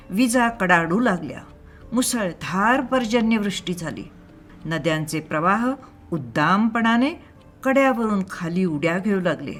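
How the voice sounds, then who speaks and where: A woman narrating a scripted moral story in a measured, expressive storytelling manner. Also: fairly low pitch. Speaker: storyteller and channel host.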